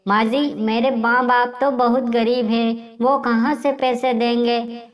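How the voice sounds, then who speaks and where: A woman plays a humble young wife and mother bird in a cartoon fable. Her high-pitched voice is gentle and earnest, with a meek, pleading manner. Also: flat delivery, a little slow, lightly acted. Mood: meek, worried. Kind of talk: Hindi moral-story cartoon dubbing.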